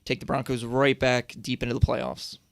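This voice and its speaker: A man's voice: medium pitch, monotone, normal speed, gravelly, smooth. A man giving firm opinions and predictions as a panelist on a roundtable sports radio show, recorded in a studio.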